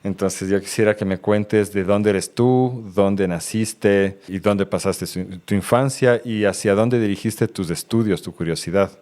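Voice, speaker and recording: A man with a very low voice hosts a podcast and talks to his guest in a friendly, relaxed way. His voice is recorded dry and close, with no room sound. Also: measured pace, hardly any pauses, no room sound. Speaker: podcast host. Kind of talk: conversational podcast interview.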